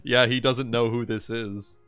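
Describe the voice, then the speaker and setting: A man's voice: mid-range voice, fairly even intonation, measured pace, a bit bright, clean tone, no room sound. A man chatting casually as a player in a tabletop roleplaying game. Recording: music playing behind the voice. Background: music.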